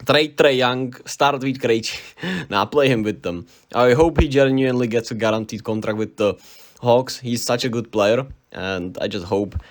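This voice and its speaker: A young man with a Czech accent talks through a basketball recap in a loose, unscripted way. He sounds eager and rambles, stumbling and restarting when names trip him up. His voice sits at an ordinary middle pitch for a man. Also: normal speed. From Czech Republic. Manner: enthusiastic, rambling, self-correcting on names. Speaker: basketball vlogger. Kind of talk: casual unscripted sports recap.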